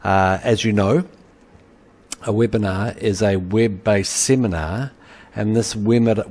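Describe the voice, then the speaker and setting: A middle-aged man with a New Zealand accent, giving a recorded webinar lecture and pausing often with uhs and ums. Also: fairly low pitch, a little mellow. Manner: halting, many uhs and ums. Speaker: university lecturer.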